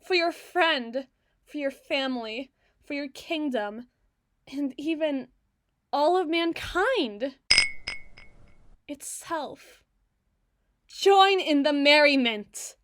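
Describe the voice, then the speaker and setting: A woman voicing a regal, icy evil queen in a scripted ASMR roleplay, speaking in formal, theatrical oratory with deliberate emphasis. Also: high-pitched, animated, a bit bright, exaggerated voice. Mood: gracious, regal.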